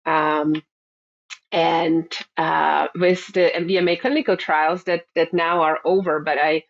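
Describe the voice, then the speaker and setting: A middle-aged woman from the Republic, a therapist answering interview questions in a thoughtful, halting way with many fillers and repeated words. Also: deep voice, fairly even intonation, creaky. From Czech Republic. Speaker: therapist guest. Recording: dull lo-fi sound.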